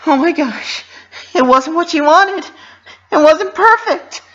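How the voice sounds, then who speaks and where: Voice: extremely high pitch, expressive, takes it slowly, sharp tone, dull audio, pitched above the performer's own voice, full character voice. A storybook reader voicing an anxious, self-doubting young female party pony with panicky, self-reproaching outbursts. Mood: panicked.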